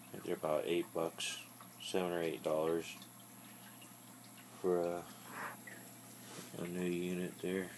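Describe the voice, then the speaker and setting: A man with a low-pitched voice and very flat intonation explains a hands-on repair. He is plainspoken and matter-of-fact, pausing as he works through the steps. Some noise sits behind his voice. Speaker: hobbyist demonstrating a radio repair. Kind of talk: casual unscripted how-to narration.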